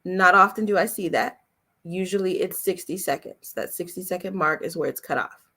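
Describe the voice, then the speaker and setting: A woman's voice: fairly low pitch, normal speed, sharp tone, breathy edge. A woman giving an informal lesson as a voice-over coach, speaking emphatically and instructively, repeating key points and often checking in with her listeners. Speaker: voice-over coach and demo producer. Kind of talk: informal teaching talk, coffee chat webinar.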